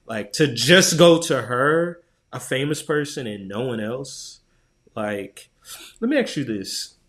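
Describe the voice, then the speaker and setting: A man's voice: medium pitch, big pitch swings, slow, a bit bright. A man co-hosting a podcast, talking casually and thinking aloud in informal, slangy speech. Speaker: podcast co-host. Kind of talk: casual chat about tech.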